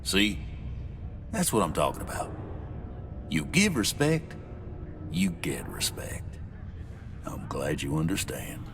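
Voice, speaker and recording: An actor playing a gruff, guarded veteran barman in a sci-fi mining town for a video game, talking in a folksy working-class way. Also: deep voice, wildly swooping pitch, slow, pauses often, noisy background, full character voice. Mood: guarded, frank. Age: older.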